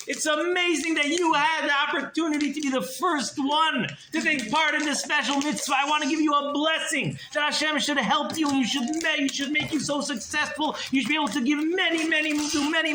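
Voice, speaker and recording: A man voicing an elderly male rabbi who loudly heaps praise and blessings on a donor. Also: reverberant, noisy background. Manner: high, loud, exclamatory praise.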